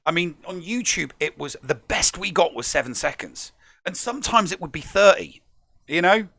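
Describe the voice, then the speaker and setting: A man's voice: high-pitched, lively intonation, normal speed, vocal fry, bright, forceful tone, no echo. A man hosting a podcast in casual live-stream chat, jokey, laughing often and repeating words when he gets going. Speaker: podcast host.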